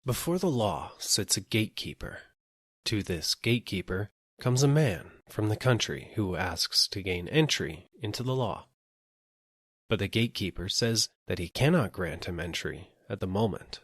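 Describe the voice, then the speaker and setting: Low-voiced man reading a literary tale. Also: lively intonation, moderate pace, husky, no echo, studio quality. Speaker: audiobook narrator. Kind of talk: measured literary storytelling.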